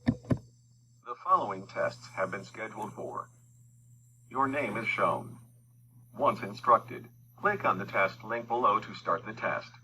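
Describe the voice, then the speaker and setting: A man's synthetic read-aloud voice from testing software, reading instructions and questions in a flat, clipped way. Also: on the low side, slow pace, pauses often, slight background noise, low-quality recording. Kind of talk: synthetic screen reader narration.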